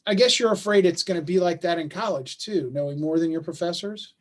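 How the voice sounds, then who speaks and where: Father, male actor, high-pitched voice. Conciliatory, self-deprecating, halting, working-class dad in naturalistic stage drama. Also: lightly acted. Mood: confiding. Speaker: stage actor in a play reading. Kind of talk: staged play reading, naturalistic dialogue. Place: remote video call.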